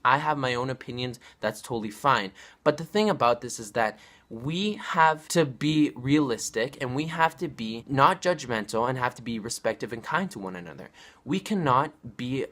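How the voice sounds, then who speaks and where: A man's voice: mid-range voice, fairly bright tone. A nineteen-year-old man talking straight to camera in a casual vlog, rambling and repeating himself in an earnest way. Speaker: vlogger.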